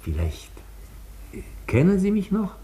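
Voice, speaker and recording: An actor in a German radio play playing a genteel, vain old former opera singer with courtly, theatrical manners. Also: male voice, sits high, huge pitch swings, a little slow, dark tone, full character voice. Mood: courtly, reproving. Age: older.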